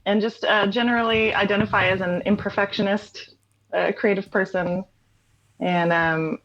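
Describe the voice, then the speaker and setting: A woman talking casually as an interview guest, relaxed, with frequent fillers and easy laughter. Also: medium pitch, moderate pace, dull audio. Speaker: interview guest, writer and songwriter.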